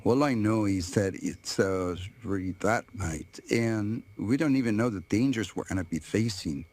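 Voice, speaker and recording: Low calm synthetic man. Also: moderate pace.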